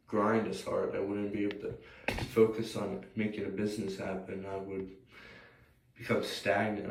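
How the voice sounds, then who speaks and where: A young American man with a slightly scratchy voice, rambling hesitantly as he thinks aloud in a casual, unscripted personal vlog. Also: lowish voice. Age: young adult. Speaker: vlogger. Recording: roomy, distant mic, faint noise.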